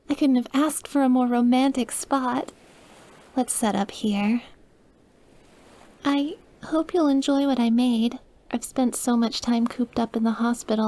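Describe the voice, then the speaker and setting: A woman playing a sweet, awkward, wistful young woman who is dying and quietly obsessive, in a soft, hesitant, intimate ASMR roleplay. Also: fairly high pitch, natural voice. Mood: sweet, eager. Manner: soft, hesitant, gushing, at times dropping to breathy murmurs. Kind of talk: ASMR audio roleplay, intimate one-sided dialogue.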